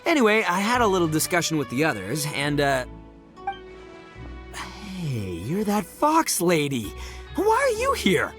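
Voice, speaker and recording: A brash young gang leader for an anime game. His voice is high and swoops around with swagger. He talks in slangy, theatrical boasts, but means well. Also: wildly swooping pitch, unhurried, music in the background. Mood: boisterous.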